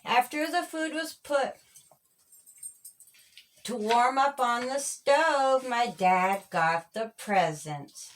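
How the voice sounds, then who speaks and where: A woman reading a children's storybook aloud as its author, in a measured, sing-song rhyming delivery. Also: on the high side, expressive, slight background noise.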